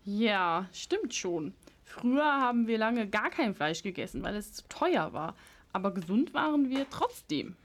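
A woman's voice: a little high, sing-song, measured pace, exaggerated voice. A female amateur playing a kindly, cautious grandmother in an audio drama. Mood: conceding, gentle. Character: kindly grandmother, cautious but open to persuasion.

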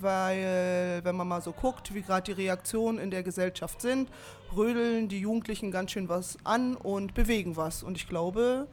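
A woman's voice: medium pitch, darkish tone. A woman answering an interview in casual, chatty everyday phrasing. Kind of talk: casual spoken opinion. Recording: noisy location interview.

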